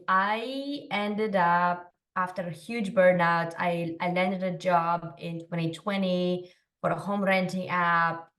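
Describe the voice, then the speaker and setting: A woman in her thirties from Romania, a podcast guest telling her story in a quick, chatty way with lots of fillers. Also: lowish voice, a bit bright, distant mic. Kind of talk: casual interview storytelling.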